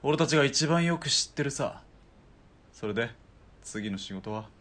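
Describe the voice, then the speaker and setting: A man playing a wry, casual ex-soldier turned hired killer in a radio drama, with rough, bantering speech. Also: mid-range voice, lively intonation, moderate pace, a bit bright. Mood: dry, wry.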